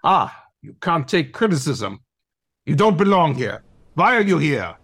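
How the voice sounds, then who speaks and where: A man's voice: on the high side, big pitch swings, measured pace, fairly bright tone, slightly rough, heavily acted. A man playing a haughty, demanding dog teacher of acting in an audio play, with a pompous, theatrical manner. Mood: scornful.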